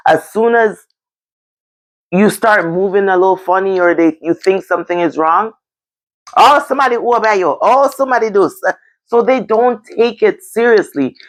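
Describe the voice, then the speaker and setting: A woman's voice: mid-range voice, moderate pace, low-quality recording. A woman with a Jamaican accent in a candid, casual discussion, blunt and emphatic, repeating phrases for stress. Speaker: discussion show host.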